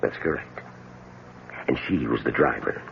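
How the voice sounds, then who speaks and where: An actor playing a grave, courteous doctor in an old-time radio drama, speaking in a formal, measured, authoritative way. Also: male voice, rumbling low, gravelly, heavily acted. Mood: grave, explaining. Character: grave, courteous male doctor explaining a patient's cure. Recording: tinny, hissy old radio recording.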